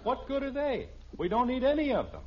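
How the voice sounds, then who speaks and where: Exasperated American husband, dry sarcasm, swooping intonation, 1950s radio sitcom, dull recording. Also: male voice, very high voice, huge pitch swings, a little fast, exaggerated voice. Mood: exasperated, sarcastic. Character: exasperated, sarcastic middle-class suburban father and husband. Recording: dull, slightly noisy old radio recording.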